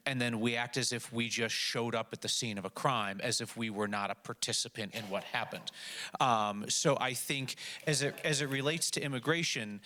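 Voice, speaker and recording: Male panelist, mid-range pitch, bright forceful tone, argues emphatically and voices imagined exchanges. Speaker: panel speaker in media. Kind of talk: impassioned panel discussion remarks.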